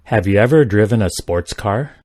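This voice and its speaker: A man reading simple English practice sentences aloud, each one twice, in a careful, even delivery. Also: lowish voice, normal speed, dry recording. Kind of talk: slow repeated sentence reading for learners.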